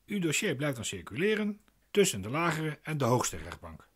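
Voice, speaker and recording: A man's voice: a little high, wildly swooping pitch, normal speed, fairly bright tone, husky, full character voice. An actor playing a glib, pedantic man explaining legal procedure in a radio play, insistent and didactic.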